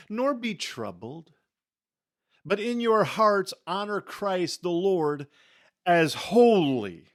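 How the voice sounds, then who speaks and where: A man giving a dramatic scripture reading in a solemn, emphatic way, with deliberate pauses and phrases that fall away softly. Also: a little high, no room sound, clean studio sound. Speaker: scripture reader.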